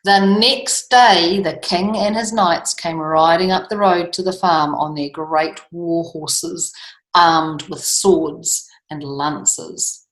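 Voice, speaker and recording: A woman storyteller reading a children's book aloud with expressive, lilting emphasis. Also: lowish voice, slow. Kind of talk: storybook read-aloud with chatty asides. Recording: echoey room.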